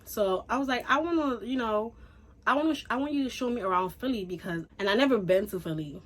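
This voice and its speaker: A young woman from Haiti chatting casually through a storytime, animated and full of fillers. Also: a little high, quick pace. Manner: chatty, animated, says "like" and "y'all" a lot. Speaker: YouTuber.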